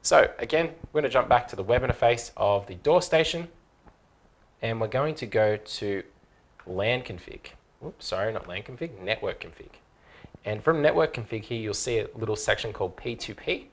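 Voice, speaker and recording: Man, thirties, medium pitch, informal instructor, step-by-step explaining, casual tutorial presenter. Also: expressive, moderate pace. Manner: informal, explanatory, checks along with okay.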